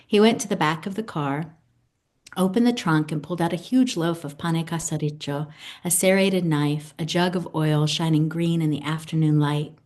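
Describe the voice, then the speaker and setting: A woman's voice: sits low, fairly even intonation, moderate pace, a little mellow. A woman in her fifties whose first language is Italian, a guest poet reading and discussing her own poems. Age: 50s. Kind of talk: poetry reading and conversation about own poems.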